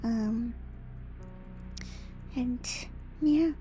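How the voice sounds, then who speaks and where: A woman livestream host chatting in a soft ASMR style, moving between whispers and quiet speech, very close to the mic with audible mouth noises. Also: a little high, extremely slow. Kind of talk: whispered ASMR stream chat. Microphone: very close microphone with gain turned up, mouth noises. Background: music.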